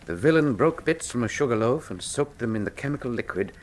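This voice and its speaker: Radio drama male sleuth, acted by a man: keen, precise, lecturing, lively melodic delivery. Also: medium pitch, fairly quick, natural voice. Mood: analytical. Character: keen, commanding Victorian male detective, precise and erudite.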